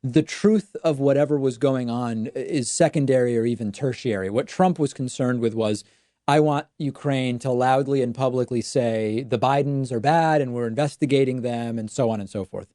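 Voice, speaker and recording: A man's voice: mid-range voice, normal speed, mellow, no echo, studio quality. A man hosting a live call-in talk show, explaining his views in an opinionated way. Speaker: host.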